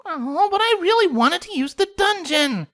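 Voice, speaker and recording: Man putting on a very high, eager, macabre mare. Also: sing-song, moderate pace, pushed and bright, dry recording, clean studio sound, heavily acted. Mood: whining. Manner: whining, eager. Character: eager, macabre female cartoon pony with gleeful menace.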